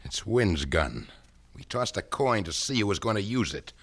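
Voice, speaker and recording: A man's voice: fairly low pitch, very animated, normal speed, a bit bright. An actor playing a desperate, nervous would-be hitman in a 1970s radio drama. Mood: menacing. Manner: tense, stammering when cornered.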